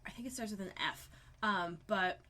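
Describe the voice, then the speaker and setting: A woman's voice: medium pitch, moderate pace, bright, forceful tone. Wry, chatty woman podcast co-host. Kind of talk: casual recap chat.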